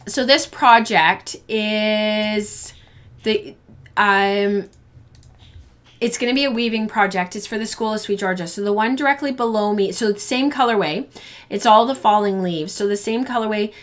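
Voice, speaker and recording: A woman hosts a live fiber arts stream, talking casually to her viewers. She rambles a little, with frequent ums and false starts. Her voice sounds tired and a bit hoarse. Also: medium pitch, normal speed. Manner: chatty, rambling, lots of ums and restarts. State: losing her voice. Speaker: podcast host of a fiber arts show.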